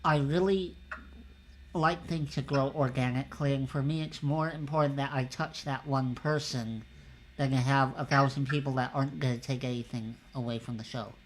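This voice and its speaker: A man hosting a casual livestream interview show, telling stories in a relaxed, conversational way. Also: mid-range voice, normal speed, slight background noise, poor audio. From California.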